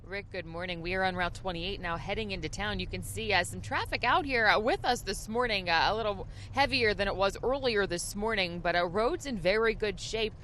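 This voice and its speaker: A woman field reporter giving a live TV report from a moving vehicle, with a halting delivery full of hesitations. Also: medium pitch, a bit bright. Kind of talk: live TV traffic and weather report. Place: inside a moving vehicle on the road. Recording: road and vehicle noise behind voice.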